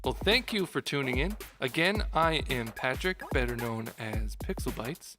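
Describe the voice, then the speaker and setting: A man's voice: medium pitch, expressive, moderate pace. A man giving a dramatic, mock-grand reading of a scripted game review. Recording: music behind the voice. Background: background music.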